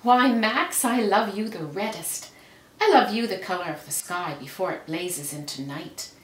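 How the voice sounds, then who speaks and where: A woman voicing a gentle, loving storybook mother who speaks with tender reassurance. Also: on the low side. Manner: tender, reassuring, unhurried praise. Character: gentle, loving mother of two young boys. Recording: roomy, noisy, distant microphone.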